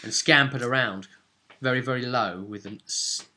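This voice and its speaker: A man's voice: mid-range voice, normal speed, sharp tone. A man casually recapping a tabletop role-play session as game master, rambling along and often seeking agreement with tag questions.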